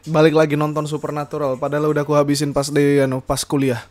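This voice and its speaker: A man's voice: on the high side, completely flat delivery, fast, darkish tone. A young man hosting a casual podcast, rambling with many fillers and self-corrections and laughing often. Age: young adult. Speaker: podcast host. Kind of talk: casual solo podcast chat.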